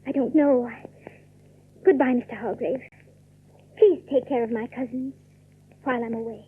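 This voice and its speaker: Earnest young cousin, high and very breathy, sweet, thin phone-like radio-drama sound. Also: female voice, steady tone, normal speed. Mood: tender. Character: gentle, earnest young country girl cousin. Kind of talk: theatrical audio drama acting. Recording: muffled, telephone-thin old drama recording.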